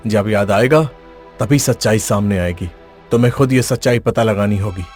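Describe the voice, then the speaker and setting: A wise, stern holy man, acted by a man, speaks in a low, commanding voice. He instructs solemnly in fairy-tale cartoon style over faint music. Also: moderate pace, a little mellow, lightly acted. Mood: solemn counsel. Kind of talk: animated fairy-tale voice acting.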